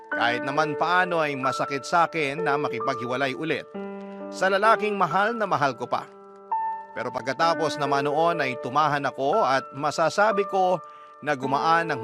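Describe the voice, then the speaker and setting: A man with a Filipino accent reading letters as scripted radio storytelling narration. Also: on the high side. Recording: loud music bed behind voice. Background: music.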